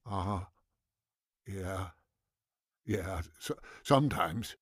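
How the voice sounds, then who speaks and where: A man's voice: sits low, extremely slow, breathy edge, dry recording, studio quality, heavily acted. An actor playing an anxious, lonely man in a radio drama, hesitant, stammering and repeating his words. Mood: worried, unsure.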